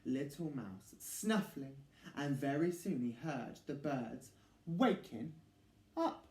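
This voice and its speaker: A man reading a picture book to children as an expressive storyteller, with theatrical emphasis and dramatic pauses. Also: medium pitch. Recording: echoey room.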